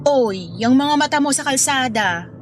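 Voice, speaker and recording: A woman's voice: sits high, lively intonation, normal speed. An actress playing an affectionate, playful young woman in love in a Filipino radio drama. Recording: music bed under dialogue.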